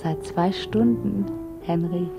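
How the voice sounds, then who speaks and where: An actress playing a young variety dancer in love, soft, tender and yearning, in an intimate German radio play. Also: female voice, on the low side, slow, creaky. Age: young adult. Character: dreamy, yearning 22-year-old variety-show snake dancer. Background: music.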